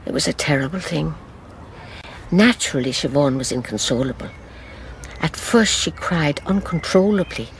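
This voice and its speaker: An actress playing an elderly Irish grandmother in a radio drama, recounting her past in a measured, sorrowful, wistful way. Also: low-pitched, lively intonation, a little hiss or hum. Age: older.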